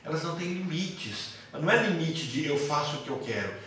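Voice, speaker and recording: A Brazilian man gives a classroom lecture in a slightly high voice. He explains didactically, posing questions and answering them himself. The recording is very reverberant and picked up from a distance, with faint noise. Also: expressive, a little fast, fairly bright tone. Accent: Brazilian Portuguese. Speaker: psychoanalysis teacher. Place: classroom with students. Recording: very echoey room, distant mic, faint noise.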